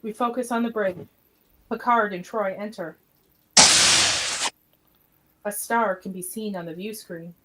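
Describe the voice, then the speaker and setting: Female narrator for script read, mid-pitched, plain stage-direction reading, noisy recording with clicks. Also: fairly even intonation, measured pace, pauses often, fairly bright tone. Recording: noticeable background noise, clicks.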